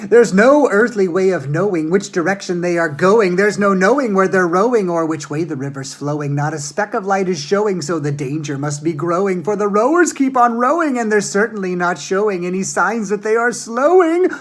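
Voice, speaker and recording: Excitable eccentric candymaker, high and shouty, gleeful rhymes, played by a woman reading aloud. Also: moderate pace, few pauses, close to an everyday voice. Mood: sing-song. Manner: shouting, high and sing-song. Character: eccentric excitable male chocolatier, loud and gleeful. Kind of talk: storybook read-aloud.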